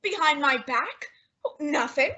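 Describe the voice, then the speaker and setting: Scatterbrained sitcom housewife played by a woman, bright high voice, exclamatory, old radio comedy style. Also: a little slow, lo-fi sound, heavily acted. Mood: flustered. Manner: animated, exclamatory. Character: young housewife, flustered, secretive, affectionate and scatterbrained. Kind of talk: 1940s radio sitcom acting.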